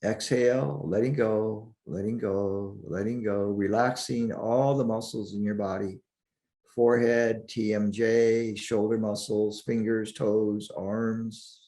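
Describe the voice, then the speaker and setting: A man guiding a sleep meditation in a soothing, deliberate manner, leaving long pauses between short phrases. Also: fairly low pitch, fairly even intonation, mellow. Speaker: meditation guide.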